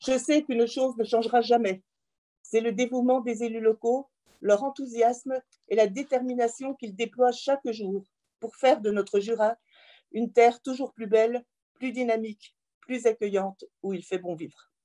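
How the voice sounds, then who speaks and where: A woman politician reads a formal address. Her voice sits fairly high yet is very soft and mellow in tone, and her delivery is measured and earnest. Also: steady tone. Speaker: member of parliament addressing local mayors. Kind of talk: formal prepared speech.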